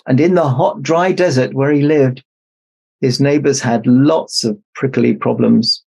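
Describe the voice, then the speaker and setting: A man narrating a children's story aloud as an expressive storybook reader. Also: medium pitch, measured pace, gravelly, breathy edge. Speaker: storybook reader in Santa persona.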